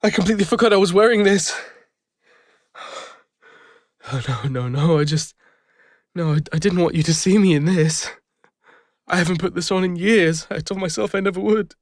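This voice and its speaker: A man with an English accent playing a gentle, bashful lone ranger and former healer in an intimate audio roleplay, speaking hesitantly. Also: sits high, dry recording, clean studio sound, close to an everyday voice. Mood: flustered, tearful. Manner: hesitant, soft-hearted, halting. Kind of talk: intimate scripted audio roleplay addressed to the listener.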